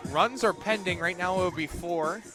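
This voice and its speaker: A man doing live play-by-play softball commentary for radio, with clipped, terse calls that lift on big plays. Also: high voice, normal speed, music in the background. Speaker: play-by-play sportscaster.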